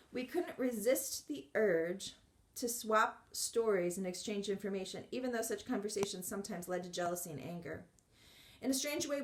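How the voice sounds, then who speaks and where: A woman reading a memoir aloud in a measured, earnest way, with occasional restarts. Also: medium pitch, a little mellow, reverberant. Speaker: audiobook reader.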